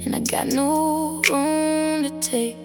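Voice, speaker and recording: Lady singing a really slow romantic song, high voice, music loud. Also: fairly even intonation. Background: musical backing.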